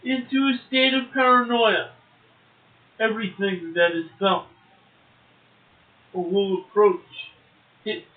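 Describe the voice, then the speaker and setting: A man's voice: high voice, steady tone, slow, long pauses. A man co-hosting a poetry show, reciting verse and adding brief casual remarks. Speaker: poetry show co-host and poet. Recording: roomy echo, narrow phone-like sound.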